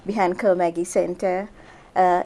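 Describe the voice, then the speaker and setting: Middle-aged woman doctor from Sri Lanka, mellow tone, loose conversational interview delivery. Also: fairly low pitch, little pitch movement, normal speed. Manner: chatty, many fillers and restarts. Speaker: physician guest. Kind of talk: casual interview answers.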